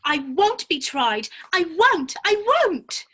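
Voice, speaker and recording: A curious, outspoken young girl, played by a woman, with a high, bright voice that swings up and down. She is emphatic and easily indignant, in the manner of a storybook heroine. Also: sing-song, slow pace, exaggerated voice. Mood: indignant, protesting. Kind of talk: dramatic reading of a classic children's story.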